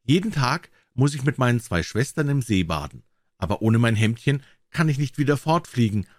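A man voicing a soft, plaintive young enchanted princess in a fairy tale. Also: mid-range voice, sing-song, normal speed, no room sound, clean studio sound, pitched above the performer's own voice. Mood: pleading. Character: pleading young enchanted princess, captive of a witch.

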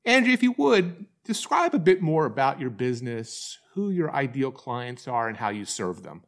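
A man's voice: mid-range voice, sing-song, normal speed. A man hosting an interview podcast in a friendly way, with small restarts as he talks. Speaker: podcast host.

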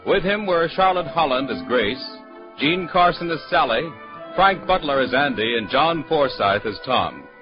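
Male 1940s network announcer reading credits, animated delivery, dull archival sound, faint music. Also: fairly high pitch, normal speed. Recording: muffled 1940s radio broadcast, faint music.

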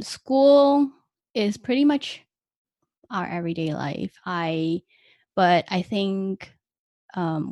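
A woman's voice: lowish voice, gravelly, a little mellow, no room sound. Young malaysian-raised woman, malay first language, chatty w lots of ums and little laughs, dry close sound. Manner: chatty, many fillers and small laughs. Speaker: interviewee. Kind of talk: casual conversational interview.

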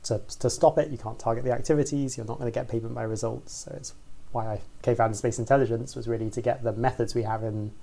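Gravelly guy with a dark mellow voice explaining science, measured. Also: mid-range voice, fairly even intonation. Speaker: scientist guest. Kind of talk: explaining in an interview.

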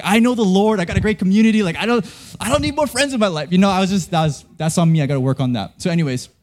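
Man, pastor, young adult, from Canada, casual rambling storyteller, slightly raised pitch, lots of "like" and restarts. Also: darkish tone. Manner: chatty, self-deprecating, many fillers and restarts.

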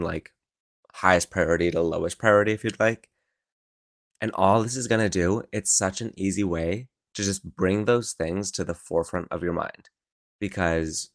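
A young man hosting a casual solo podcast from his room, rambling and encouraging, with lots of filler words and a croaky morning voice. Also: low-pitched, no echo, clean studio sound. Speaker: podcast host. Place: his own room at home.

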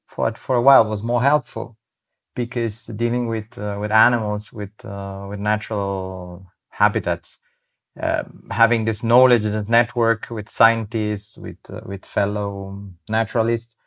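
A man, a nature photographer, answering an interview question in a halting way and repeating words. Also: lowish voice, flat delivery, vocal fry.

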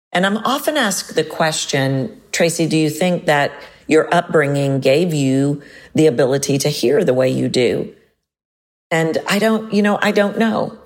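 A middle-aged woman with a Texan accent, hosting a solo podcast as a voice coach, leaping up in pitch to stress words and slowing on key points. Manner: expressive, leaps up in pitch for emphasis, slows on key points.

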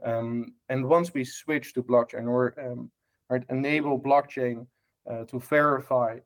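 Dutch man, mid-pitch, chatty guest who hesitates a lot and keeps checking "right?". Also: steady tone, a little slow. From Netherlands. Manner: many fillers and restarts, tag questions. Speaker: guest, hospitality tech lead. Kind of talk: casual podcast interview.

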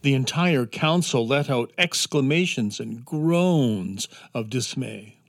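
Slow-paced male storyteller. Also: medium pitch, lively intonation. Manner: measured, descriptive. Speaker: narrator. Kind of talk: scripted storytelling narration for children's audio drama.

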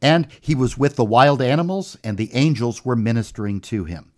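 A man's voice: medium pitch, lively intonation, normal speed. A man co-hosting a podcast, reading a Bible passage aloud and breaking it down step by step. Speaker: podcast co-host. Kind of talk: conversational Bible discussion.

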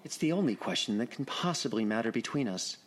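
A man playing a nervous, wistful client revisiting his boyhood in a radio drama, with a halting, intense manner. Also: mid-range voice, expressive, natural voice. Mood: nervous intensity.

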